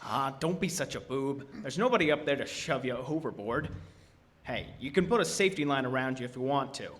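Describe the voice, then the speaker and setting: An actor playing a pushy, scornful oil rig boss in a bossy, needling old-time radio drama style. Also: male voice, medium pitch, lively intonation, brisk, a bit bright, close to an everyday voice. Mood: impatient, scornful.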